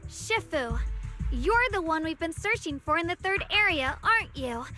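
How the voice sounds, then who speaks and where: A young swordswoman and devoted younger sister in a video game. Her voice is high and earnest. Soft music runs underneath. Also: lively intonation, normal speed, full character voice. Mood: resolute.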